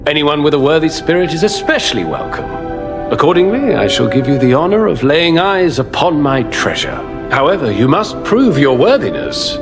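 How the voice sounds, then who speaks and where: A vain aristocrat from a video game, grandiloquent and self-satisfied. His fairly high voice swoops dramatically up and down, with music playing behind. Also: creaky. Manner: pompous, grandiloquent. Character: pompous, vain aristocratic nobleman treasure keeper. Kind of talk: theatrical game character dialogue.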